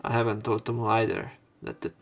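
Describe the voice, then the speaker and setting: A man's voice: lowish voice, steady tone, a little fast, gravelly. A man narrating a visual novel as a let's play, reading the story text aloud.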